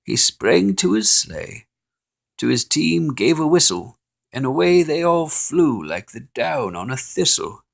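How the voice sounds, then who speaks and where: A man reads a holiday poem aloud, playful and expressive. His voice is gravelly and unhurried. His pitch rises and falls in lively swoops. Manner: expressive, playful reading with chuckles. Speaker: storyteller.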